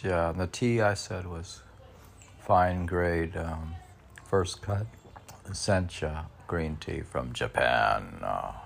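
Very deep, gravelly man, slow and halting. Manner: halting, musing, repeats questions back to himself. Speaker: poet reading and discussing his own work. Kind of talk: rambling monologue of self-posed questions and answers.

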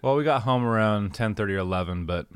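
Low-voiced young man, dry sound. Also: fast talker, smooth, dry recording. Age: young adult. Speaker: podcast co-host, educator. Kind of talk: casual podcast chat.

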